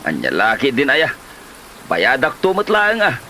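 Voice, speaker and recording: A man's voice: mid-range voice, huge pitch swings, unhurried, sharp tone, heavily acted, rough recording. A man playing a shifty, irritable, broke husband in a comedy drama, with a Filipino accent and a dismissive manner. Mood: evasive, threatening. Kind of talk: Ilocano comedy drama acting. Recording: light noise, rain-like ambience.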